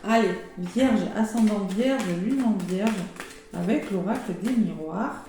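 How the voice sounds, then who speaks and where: A hoarse woman giving a casual weekly astrology card reading, chatty and full of fillers and exclamations. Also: mid-range voice, measured pace, noisy background. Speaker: tarot and oracle card reader. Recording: very echoey room with faint music.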